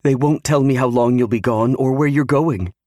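A man's voice: medium pitch, moderate pace, a little mellow, no echo, clean studio sound, pitched above the performer's own voice, close to an everyday voice. A man voicing a distraught, pleading wife of twenty-nine in an audiobook, afraid of losing her husband. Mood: upset, accusing. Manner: pleading, protesting. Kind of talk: audiobook character acting.

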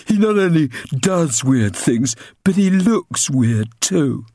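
A man's voice: a little high, sing-song, slow, warm tone, no room sound, studio quality, voice pushed up, full character voice. A man voicing a large, cruel bully boy who sneers, in a storybook reading. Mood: sneering.

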